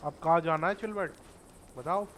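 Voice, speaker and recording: A man's voice: on the high side, rapid-fire. An Indian-accented man giving casual commentary as he plays through a video game. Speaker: gaming video host. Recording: loud game noise behind. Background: video game sounds, horse hooves.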